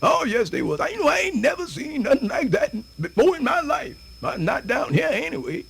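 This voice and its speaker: Radio theatre: animated old Southern US storyteller, creaky high voice, folksy, wandering delivery with big pitch swings. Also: male voice, a little fast, a little hiss or hum, full character voice. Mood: bemused, gossipy. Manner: rambling, folksy, theatrical hesitations and repetitions. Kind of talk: radio theatre folktale monologue.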